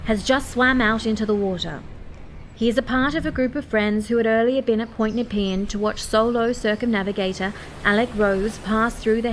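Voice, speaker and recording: A woman's voice: mid-range voice, slight background noise, lightly acted. A woman playing an earnest 1960s news reporter giving an on-the-scene report in a radio play.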